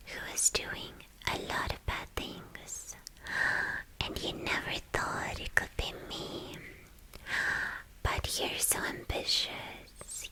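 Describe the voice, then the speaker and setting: A woman playing a playful, boastful young female villain in an ASMR roleplay, whispering breathily and teasingly with halting, repeated phrases. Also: natural voice, rough recording.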